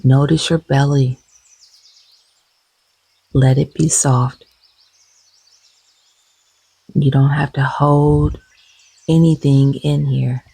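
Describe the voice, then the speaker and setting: Slow, creaky, hushed woman guiding meditation. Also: very deep voice, level tone, no room sound. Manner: gentle, soothing, unhurried with long pauses. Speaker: guided meditation leader. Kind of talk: guided meditation, breath and body scan.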